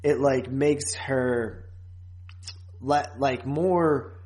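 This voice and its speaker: Man, podcast co-host, mid-range pitch, casual chat, informal rambling delivery. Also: extremely slow, a little mellow. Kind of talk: casual chat recapping a TV series.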